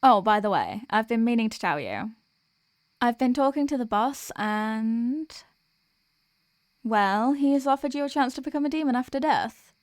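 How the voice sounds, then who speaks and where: A woman's voice: on the high side, sing-song, normal speed, dry recording, studio quality. A woman playing a sweet, weary demoness girlfriend in an ASMR roleplay, chatting affectionately and intimately to her partner. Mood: coaxing, hopeful. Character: sweet, weary demoness girlfriend venting about office work.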